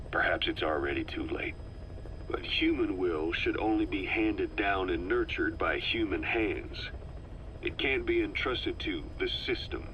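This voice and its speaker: An actor playing a shrewd male intelligence operative, delivering a measured, earnest briefing in a video game tape. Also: sits low, bright, forceful tone, a little hiss or hum, low-quality recording.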